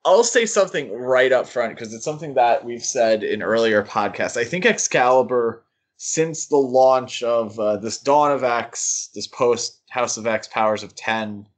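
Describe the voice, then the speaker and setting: A man with an average-pitched voice co-hosts a podcast. He comments casually but analytically, choosing his words in a measured way as he discusses. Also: animated. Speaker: podcast co-host.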